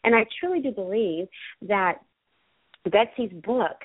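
A woman hosting a live internet radio talk show, bubbly and effusive, her voice still a little hoarse after a virus. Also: mid-range voice, lively intonation, a little slow, dry recording. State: slightly hoarse, recovering from a virus. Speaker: host. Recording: tinny phone-line sound.

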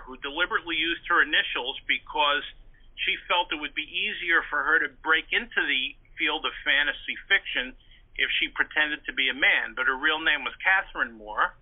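A man in his sixties talking conversationally as an interview guest, chatty, articulate and discursive as he reminisces. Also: on the high side. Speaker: interview guest, pulp fiction historian and author. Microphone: telephone. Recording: narrow, tinny phone-like line.

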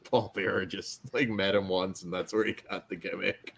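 Male podcast co-host, low voice, informal review banter, chuckly storyteller. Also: normal speed, creaky, slightly rough. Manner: chuckles through anecdotes. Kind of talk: casual wrestling review chat.

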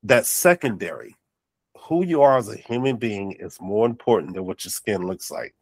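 A man's voice: on the low side, expressive, moderate pace, dry recording. A man hosting an interview podcast, sounding nasal and congested, with affirming and encouraging talk. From North Carolina. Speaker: podcast host. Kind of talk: casual interview chat.

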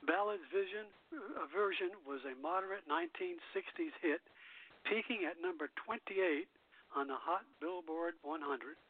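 A man hosting an online radio show, reading notes aloud with halting restarts and repeated words. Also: fairly high pitch. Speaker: host of an internet radio show. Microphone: call-in phone line. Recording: phone-like lo-fi line with faint music.

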